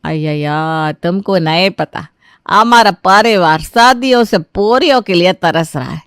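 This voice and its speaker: A woman voicing an excitable older mother-in-law in a cartoon story, full of quirky exclamations. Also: on the low side, unhurried, smooth, dry recording, clean studio sound. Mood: proud, excited. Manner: exclaiming, with quirky interjections and broken grammar.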